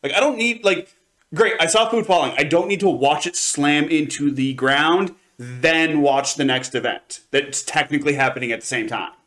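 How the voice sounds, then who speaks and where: A man's voice: fairly high pitch, normal speed, a bit bright. A man co-hosting a review show, talking casually and emphatically, often repeating his points. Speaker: co-host of an anime review show.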